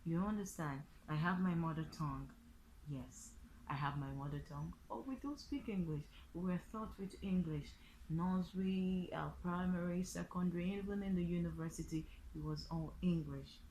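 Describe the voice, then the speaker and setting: A woman's voice: fairly low pitch, normal speed, a little mellow, a little hiss or hum, rough recording. A woman with a Nigerian accent gives an informal tutorial, explaining step by step and repeating phrases with examples. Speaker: online language teacher and vlogger.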